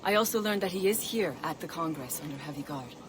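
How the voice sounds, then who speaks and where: A woman's voice: deep voice, lively intonation, quick pace, noisy background. An actress playing a composed, alert female assassin scout in a video game cutscene, businesslike and matter-of-fact.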